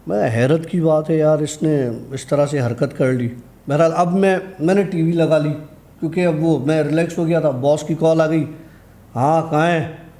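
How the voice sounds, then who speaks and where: A man's voice: fairly high pitch, moderate pace, warm tone. A man telling a personal horror anecdote in a conversational way, acting out the dialogue as he goes. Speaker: guest storyteller.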